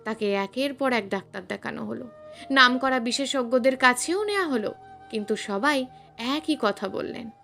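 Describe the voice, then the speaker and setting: High-pitched, animated woman narrating suspense. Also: normal speed, gravelly, faint music behind. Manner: dramatic, suspenseful delivery with emphatic swells. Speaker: storyteller. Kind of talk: dramatic scripted narration of a suspense story.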